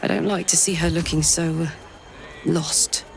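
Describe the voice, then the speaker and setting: A woman's voice: sits low, fairly even intonation, normal speed, creaky, background noise. A woman playing a blunt, loyal adventuring companion in a fantasy game, speaking informally. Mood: concerned. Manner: informal, frank. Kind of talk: video game dialogue. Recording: music behind.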